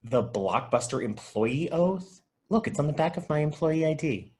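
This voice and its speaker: A man's voice: fairly high pitch, lively intonation, normal speed, darkish tone, natural voice. A man playing an earnest, dutiful video store clerk and movie buff in a comedy script read.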